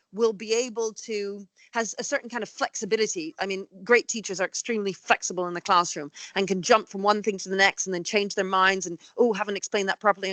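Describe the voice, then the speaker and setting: A middle-aged woman from Canada, a school headteacher speaking as an interview guest in an animated, talkative way with many fillers and restarts. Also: mid-range voice, no echo. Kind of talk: conversational interview.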